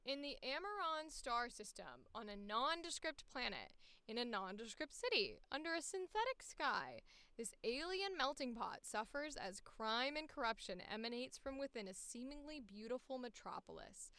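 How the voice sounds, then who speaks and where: A woman's voice: a little high, very animated, normal speed, bright, forceful tone, clear, resonant tone, no room sound. A woman voice actor chatting casually on a livestream, reading casting calls aloud and musing to herself as she goes. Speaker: voice actor streaming auditions.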